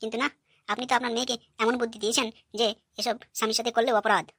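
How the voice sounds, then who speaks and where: Cartoon son-in-law, acted by a woman: aggrieved, hot-headed, higher-pitched voice, extremely fast ranting. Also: little pitch movement, a bit bright, husky, no echo, clean studio sound. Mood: angry, ranting. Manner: brash, ranting. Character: foul-mouthed, hot-tempered, aggrieved young son-in-law. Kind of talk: cartoon comedy dialogue.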